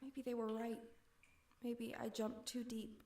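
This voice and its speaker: Higher-pitched woman reciting poetry. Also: little pitch movement, measured pace, mellow, a little hiss or hum. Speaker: poetry reader. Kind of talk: spoken-word poetry recital.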